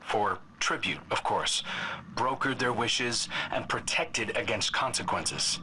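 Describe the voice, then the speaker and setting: An actor playing an earnest, troubled scout in a video game cutscene, thoughtful and wry like a campfire storyteller. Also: male voice, lowish voice, expressive, slow, pushed and bright, a little hiss or hum, exaggerated voice, rough recording. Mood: intrigued storytelling.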